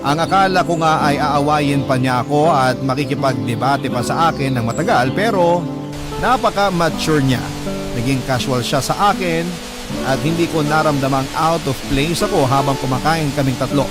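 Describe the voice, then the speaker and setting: Male story narrator over loud music. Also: a little high, normal speed. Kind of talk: dramatic first-person story narration. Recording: loud music bed under the voice.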